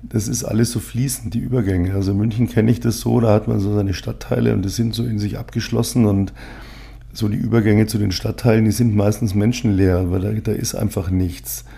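Gravelly low-voiced man from Munich. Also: a little fast. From Munich, Germany. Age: 50s. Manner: rambling, frequent fillers, relaxed. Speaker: podcast host, agency owner. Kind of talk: casual solo podcast monologue.

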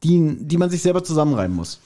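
A man's voice: on the high side, very animated, fast talker, dark tone. A man hosting a podcast, casually retelling and reviewing a story. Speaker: podcast host. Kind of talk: casual chat, retelling and reviewing an audio drama.

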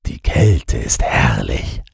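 A man voicing a naive, curious newborn snowman with a soft, questioning manner in a storybook. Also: rumbling low, very animated, takes it slowly, gravelly, slightly rough, no echo, clean studio sound, voice pushed down, heavily acted.

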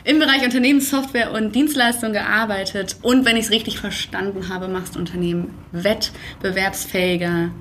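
A woman's voice: mid-range voice, steady tone, normal speed, hardly any pauses, a bit bright, a little hiss or hum. A woman hosting a podcast, talking in a conversational interview style. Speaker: podcast host.

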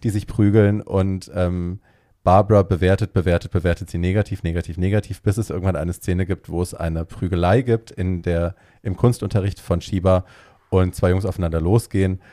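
Deep, dark-voiced man retelling a film. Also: a little fast, no echo, clean studio sound. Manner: lively retelling sprinkled with English phrases. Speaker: podcast co-host. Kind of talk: casual chat retelling a film.

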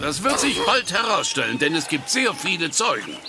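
An actor playing an earnest, stern police inspector in a children's radio play, with a deliberate, declamatory delivery. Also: male voice, on the high side, sing-song, heavy background noise.

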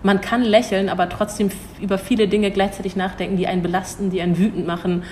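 A woman's voice: medium pitch, level tone, fast talker, a little mellow, slight background noise. Thoughtful young woman, restarts often. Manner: thoughtful, hesitant with restarts, laughs easily. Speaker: cultural attaché at an embassy. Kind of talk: interview answers.

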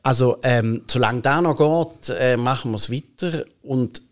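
A man's voice: medium pitch, a little slow. A man in his forties with a Swiss German accent, chatting emphatically as a podcast guest and often interrupting himself. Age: 40s. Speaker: musician, children's band leader, interview guest.

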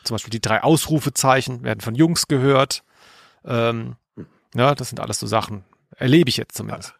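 Creaky-voiced man, close and dry. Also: mid-range voice, moderate pace, no echo. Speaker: podcast co-host. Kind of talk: casual chat.

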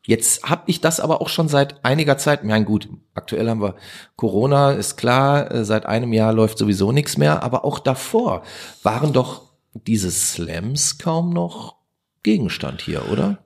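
A man with a mid-pitched voice hosts a casual interview. He builds his questions slowly and searchingly, pausing and restarting as he thinks them through. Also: expressive, gravelly. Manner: searching, hesitant, stretches out questions. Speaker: podcast host, interviewer.

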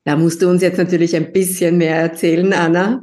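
A woman's voice: lowish voice, flat delivery, fairly quick, darkish tone. Woman hosting a podcast. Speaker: podcast host, linguist. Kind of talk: podcast interview.

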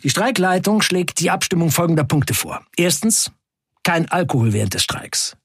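A man playing a defiant factory worker in an audio drama, narrating in the first person with emphatic, measured delivery and a husky voice. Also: fairly high pitch, sing-song, fairly bright tone, no echo, clean studio sound, heavily acted. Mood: determined. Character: defiant male Turkish factory worker recounting a wildcat strike.

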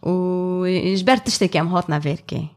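A woman's voice: on the low side, steady tone, racing. A woman speaking as an interpreter on a podcast, in spontaneous spoken translation.

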